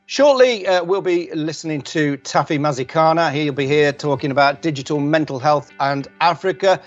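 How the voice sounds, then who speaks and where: An upbeat man hosts an event, introducing speakers in a lively announcer manner. His voice sits fairly high. The sound is dull and lo-fi, with faint music underneath. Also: normal speed. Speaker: event host.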